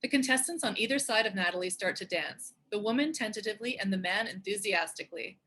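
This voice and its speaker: A woman with a husky voice reading scripted stage directions as the narrator of a table read. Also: mid-range voice, quick pace, pushed and bright. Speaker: narrator and show co-creator.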